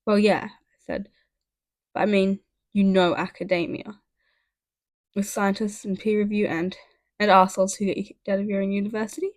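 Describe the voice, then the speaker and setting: A woman's voice: medium pitch, little pitch movement, normal speed, long pauses, no room sound, studio quality, natural voice. A woman voicing a wry, defensive male science teacher in a storybook reading, conversational and indignant.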